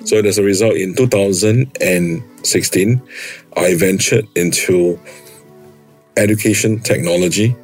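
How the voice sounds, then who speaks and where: A Singaporean man in middle age answers interview questions in a fairly low voice, earnest and measured, pausing now and then. Faint music runs underneath. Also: darkish tone. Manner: earnest, measured, with fillers and pauses. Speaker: company founder and CEO.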